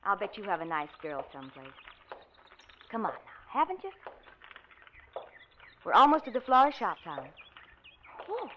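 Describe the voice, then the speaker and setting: An actress playing a cheerful American nurse, coaxing and teasing a silent patient with chirpy one-sided patter in an old radio drama. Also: female voice, mid-range voice, huge pitch swings, moderate pace, pauses often. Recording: dull old radio recording, background noise.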